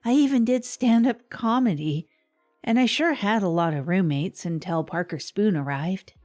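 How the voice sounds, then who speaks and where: A woman's voice: mid-range voice, moderate pace, a little mellow, faint music behind, natural voice. A woman playing a wry small-town radio host, telling a comic monologue with hesitant, self-deprecating asides. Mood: wry, reflective. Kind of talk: scripted comedic radio monologue.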